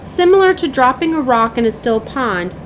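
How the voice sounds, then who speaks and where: A woman reading spiritual guidance aloud as a tarot and oracle card reader, in a solemn, deliberate manner. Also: high-pitched, sing-song. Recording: clear background noise.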